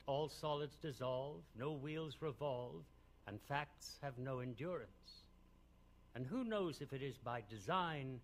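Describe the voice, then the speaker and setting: An older man performing a grave, searching wise man in a measured, earnest verse reading. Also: fairly high pitch. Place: concert stage in a radio performance hall. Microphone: close studio mic on boom.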